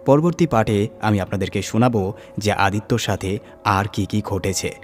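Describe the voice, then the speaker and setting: Low-voiced man narrating horror. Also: moderate pace, soft background music. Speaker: horror story narrator, channel host. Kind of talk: dramatic scripted horror narration.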